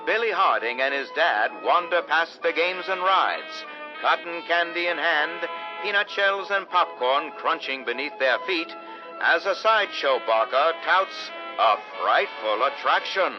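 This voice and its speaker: Old timey spooky radio show host, portentous, kinda muffled with clear music behind. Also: male voice, on the high side, animated, a little slow. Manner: portentous, theatrical. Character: ominous male host of radio horror anthology. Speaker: narrator and host. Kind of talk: scripted 1950s-style radio horror narration. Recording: dull vintage radio sound, music behind.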